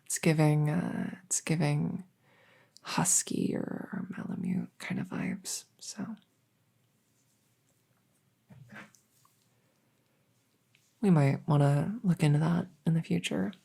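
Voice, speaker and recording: A woman playing a gentle, reassuring veterinarian in a soft-spoken ASMR roleplay, hesitant and soothing as she explains. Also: low voice, very animated, a little slow, creaky, husky, heavily acted. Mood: thoughtful, chatty. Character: gentle, reassuring female veterinarian examining a werewolf patient. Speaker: audio roleplay performer.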